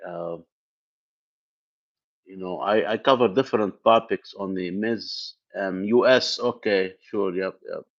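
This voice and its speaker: Brief: male tech host from Lebanon, native Arabic speaker, lowish voice, loose live-stream chat full of "uh" and restarts, muffled lo-fi audio. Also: measured pace. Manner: rambling, many fillers and restarts. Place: home in the US Midwest.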